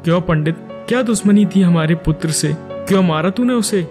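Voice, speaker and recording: A man's voice: sits high, normal speed, darkish tone, close to an everyday voice. A man playing a stern, grieving king in a cartoon tale, with a commanding, dramatic delivery. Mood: angry, accusing. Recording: music behind the voice.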